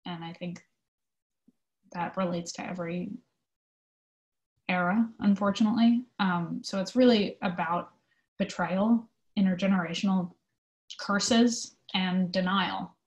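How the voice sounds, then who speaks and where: A woman answering interview questions in an informal, conversational way, explaining her work thoughtfully with pauses. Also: fairly low pitch, highs cut off. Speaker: theatre company manager being interviewed.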